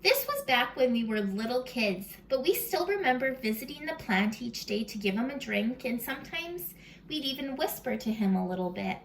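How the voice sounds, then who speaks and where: A woman hosting a read-aloud for young children, expressive and full of questions, sometimes dropping into a whisper, recorded at home. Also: on the high side, normal speed, a little hiss or hum. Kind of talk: animated children's storybook read-aloud with chat to the listeners.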